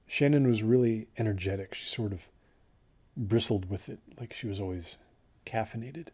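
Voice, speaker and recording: A man acting as a young male hiker giving an interview in an audio drama. His voice is lowish and warm, with a soft dark tone. He speaks casually and reflectively, pausing as he remembers. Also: breathy edge. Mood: reflective, subdued. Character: young male student hiker recalling an ill-fated trip. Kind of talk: naturalistic documentary interview acting.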